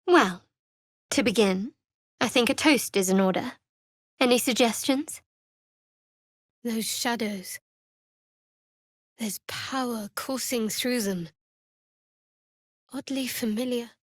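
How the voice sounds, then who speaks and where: A close, dry-sounding, fairly high young woman's voice for a fantasy game cleric. She is guarded and devout, with a measured delivery and a wry, secretive edge. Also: dry recording. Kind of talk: fantasy video game voice acting.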